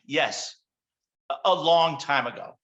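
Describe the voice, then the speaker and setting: A man's voice: fairly high pitch, takes it slowly, fairly bright tone, lo-fi sound. An actor playing an evasive politician under pressure in a script read, stammering and flustered as he talks. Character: cornered, evasive male senator running for president. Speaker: actor in a virtual table read. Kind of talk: screenplay table-read acting.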